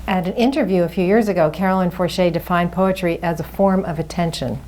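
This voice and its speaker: A woman moderator with a soft voice giving a formal, measured introduction in literary phrasing. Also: fairly low pitch.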